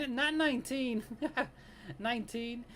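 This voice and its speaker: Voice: medium pitch, slow pace, fairly bright tone. A streamer in their late thirties chatting casually with viewers while drawing live, laughing often and greeting people by name. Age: 30s. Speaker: live-stream artist host.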